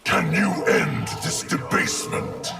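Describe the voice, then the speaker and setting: A man's voice: takes it slowly, lightly acted. A man voicing a collective of tormented souls in one warrior, solemn and formal in a fighting game, with music behind. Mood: grave. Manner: solemn, formal, declamatory, speaks as "we". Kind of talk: fighting game character acting.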